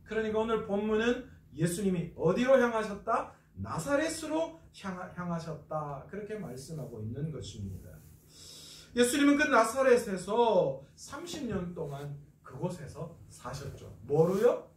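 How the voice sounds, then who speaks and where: A man's voice: high voice, very animated, moderate pace, reverberant, distant mic. A man from North Gyeongsang, South Korea, preaching an expository Bible lecture as a pastor, asking questions and answering them himself.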